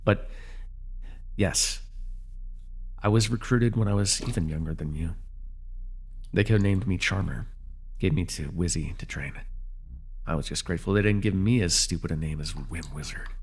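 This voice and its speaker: A man playing a jaded but protective fallen-hero villain in a superhero ASMR roleplay, speaking intimately in a gravelly, measured, soothing voice with a wry edge. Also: low-pitched, slight background noise. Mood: guarded, bitter. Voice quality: gravelly, husky.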